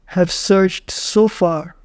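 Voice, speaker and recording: Guy doing a guided meditation, kinda high voice, really slow with lots of pauses. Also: fairly even intonation, smooth, no room sound. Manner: measured, with short phrases and frequent pauses.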